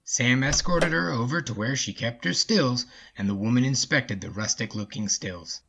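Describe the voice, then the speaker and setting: A man with a husky, mid-pitched voice narrates a folksy story from a script. The recording is slightly dull and muffled. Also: moderate pace, fairly bright tone.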